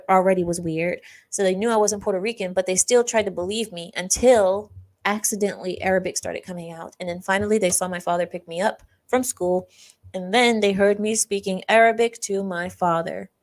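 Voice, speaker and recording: A woman's voice: medium pitch, steady tone, a little fast. Chatty young woman laughing on a stream. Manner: chatty, laughs often, acts out quoted classmates. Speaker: livestream host. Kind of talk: casual storytelling and reading chat.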